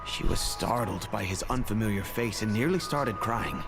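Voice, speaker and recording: An actor playing a dignified older nobleman who speaks with measured, solemn gravity, in a video game voice performance. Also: lowish voice, animated. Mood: reflective. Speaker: head of a noble family. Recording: game audio with music underneath.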